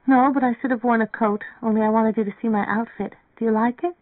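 A tired young woman in an audiobook, as a narrator acts her out, pitched up into a girlish, plaintive register. She murmurs wearily and restlessly through a narrow, radio-like band with very little room sound. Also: steady tone, quick pace, no echo, lightly acted. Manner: weary, murmuring. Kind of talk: audiobook character dialogue.

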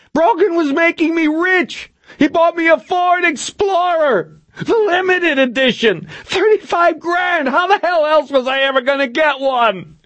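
A man voicing a panicked, cornered villain in an audiobook, screaming frantic high-pitched threats in a West Coast American accent. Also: a bit bright, no room sound. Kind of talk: audiobook character voice.

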